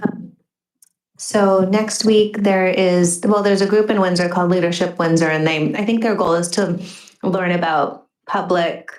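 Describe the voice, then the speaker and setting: A school board trustee makes chatty announcements in a fairly low voice, with um fillers and self-corrections. The sound is roomy, as in a meeting hall. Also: female voice, fairly even intonation, unhurried, a little mellow.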